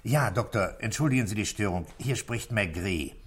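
A man playing a composed, patient police commissioner in a 1960s German radio play, measured, probing and courteous. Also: lowish voice, lively intonation, a bit bright, breathy edge. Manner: measured, matter-of-fact, courteous. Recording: vintage 1960s radio drama recording.

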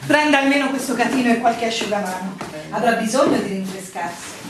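A woman's voice: on the high side, a little fast, natural voice. An actress playing a courteous, inquisitive woman who works at a village inn, in a theatrical radio drama. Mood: courteous, practical. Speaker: stage and radio actor. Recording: echoey room, distant mic, clear noise.

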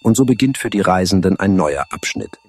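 A man's voice: deep voice, lively intonation, fairly quick, husky. A man narrating an audio drama from a script in a dramatic style. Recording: echoey, music underneath.

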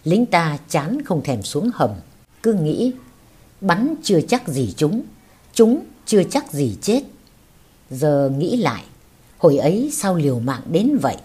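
A woman with a husky voice reading a war memoir as scripted audiobook narration. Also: deep voice, big pitch swings, slow pace, mellow.

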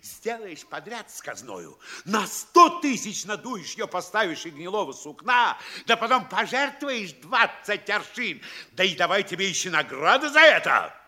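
Blustering, wildly swooping provincial mayor. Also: male voice, high voice, very animated, normal speed, hardly any pauses, exaggerated voice. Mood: furious, scornful. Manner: theatrical scolding, swinging from sly quiet to bellowing. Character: bullying, gloating provincial mayor, pompous and quick to rage. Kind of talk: classic Russian stage comedy acting in an audio play.

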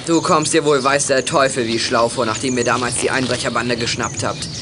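An actor playing a shifty, menacing teenage drug courier in a youth radio play, talking in curt slang. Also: male voice, medium pitch, fairly even intonation, a little fast, natural voice. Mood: menacing, wary. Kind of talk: 1980s German youth radio play acting. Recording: noisy old radio play recording.

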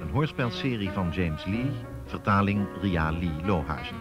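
Very deep male announcer over loud music. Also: lively intonation, measured pace. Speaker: announcer reading series credits. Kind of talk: scripted radio drama announcement. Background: loud music with saxophone and brass.

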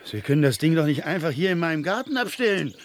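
An actor playing an indignant, suspicious homeowner on an alien planet in a 1970s German radio play, blustering and pedantic. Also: male voice, fairly high pitch, sing-song, quick pace, slight background noise. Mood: outraged.

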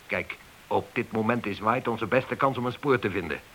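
An actor playing a demanding police inspector in a radio play, insistently interrogating. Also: male voice, on the low side, moderate pace, a bit bright, a little hiss or hum.

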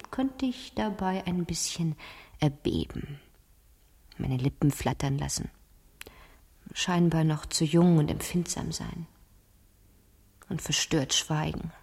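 A scheming teenage girl in a radio drama, with a breathy, husky voice that sits on the low side. She tells her tale deliberately and theatrically, sure of her own cleverness. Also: a little mellow, heavily acted. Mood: sly, confident. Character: cunning fifteen-year-old girl, imaginative, scheming, self-assured. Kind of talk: 1970s German radio play monologue.

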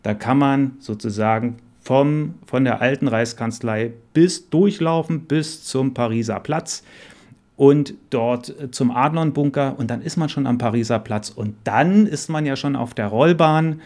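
A man from Germany explains things casually in an interview. His voice is mellow and medium-pitched, and he rambles with some hesitation. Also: a little slow. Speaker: interviewee on Berlin tunnel history.

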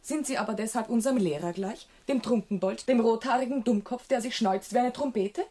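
A woman playing a naive, frank young country gentlewoman in a German radio drama, artless and sure of her own opinions. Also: a little high, fairly quick, breathy edge. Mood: self-assured, contrary. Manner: artless, self-assured, contrary.

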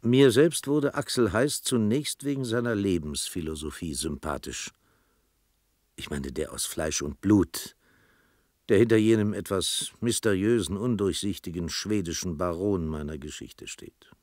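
An actor playing a reflective storyteller, narrating a radio drama in a measured, thoughtful, literary way. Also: male voice, deep voice, lively intonation, darkish tone, dry recording, studio quality. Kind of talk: scripted radio play narration.

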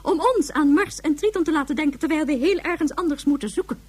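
An actress in a Dutch radio drama playing a brisk, sardonic high official who speaks formally, curtly and dryly. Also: female voice, darkish tone, lightly acted. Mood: curt, impatient. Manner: formal, curt, dry.

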